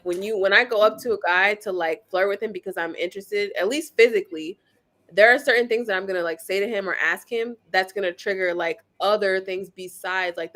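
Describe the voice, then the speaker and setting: A woman's voice: fairly low pitch, fairly bright tone. A woman co-hosting a podcast, talking bluntly and frankly, swearing freely. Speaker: podcast co-host. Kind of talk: casual chat.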